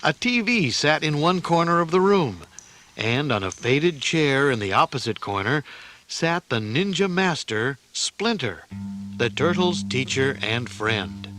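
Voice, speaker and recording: A man narrating a scripted children's adventure story in a dramatic, deliberate storytelling manner. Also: a little high, big pitch swings. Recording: loud music under the voice.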